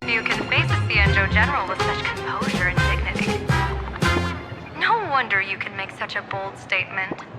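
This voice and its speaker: A woman's voice: medium pitch, animated, normal speed, natural voice. A woman voicing an enigmatic, shrewd general and fortune-teller in a video game, poised and formal with a teasing, imperious streak. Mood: intrigued, grave. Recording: loud music over the voice.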